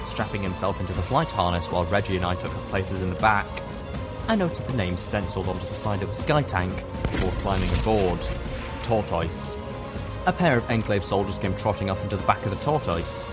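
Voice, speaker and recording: Deep-voiced man reading a story. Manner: steady, measured reading. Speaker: audiobook reader. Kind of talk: first-person fiction narration. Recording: music playing underneath.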